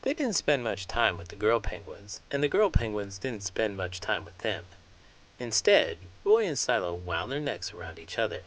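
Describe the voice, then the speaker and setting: A man reads aloud for children in an expressive, emphatic storytelling manner, as a host and teacher would. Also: lowish voice, normal speed, poor audio.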